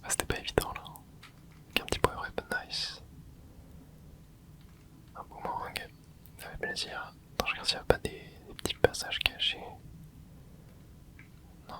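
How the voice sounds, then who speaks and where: A man hosting a gaming ASMR channel, talking almost entirely in a breathy whisper. His hushed voice sounds very bright and sharp-edged. He chats through the game and explains things to his viewers, with little sounds as he plays. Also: moderate pace, pauses often. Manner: soft whispering with little interjections while playing. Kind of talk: whispered ASMR gameplay commentary.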